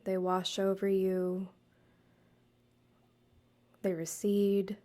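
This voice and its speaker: A woman guiding a meditation, speaking to the listener in a soothing, measured way with deliberate pauses. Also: medium pitch, monotone, mellow. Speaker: guided meditation host, counselor and yoga teacher. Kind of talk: gentle guided meditation narration, addressed to the listener.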